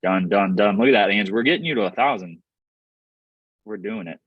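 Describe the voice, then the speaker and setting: This upbeat man hosts a real estate workshop over a video call, cheering on his viewers. His voice sits fairly low and sounds dry and close, with no room echo. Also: moderate pace, muffled recording. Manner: upbeat, hyping up the audience. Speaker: real estate investing host.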